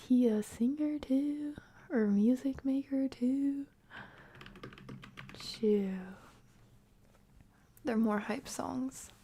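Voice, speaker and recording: Female ASMR streamer, high and gentle, slow casual chat that often drops to a whisper. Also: slightly rough. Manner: soft, often whispered delivery. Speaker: ASMR livestream host.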